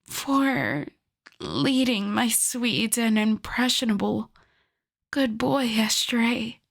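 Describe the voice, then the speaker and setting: A woman voicing a possessive, doting yandere wolf girl in an intimate ASMR roleplay, soft and often whispered, sweet with a menacing edge. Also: on the high side, animated, gravelly, exaggerated voice. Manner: slow, cooing, lingering over endearments.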